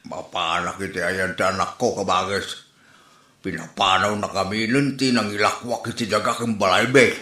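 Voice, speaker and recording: Guy playing evasive old ilocano dad in a comedy skit, bright forceful voice, roomy echo. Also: low voice, fairly even intonation, moderate pace. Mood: pleading. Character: feckless older father who drinks and squanders money. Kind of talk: Ilocano comedy radio-style drama acting.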